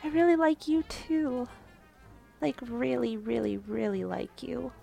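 A flustered, gushing young woman in an audio drama date scene. Her voice is fairly high, and she piles up repeated words for emphasis, with faint music underneath. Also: steady tone, unhurried, vocal fry, dark tone. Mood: affectionate.

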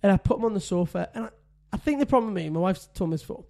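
Man, podcast co-host, mellow high voice, chatty, laughing storyteller. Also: expressive, very fast, creaky. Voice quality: says he has a deep voice. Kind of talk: casual chat.

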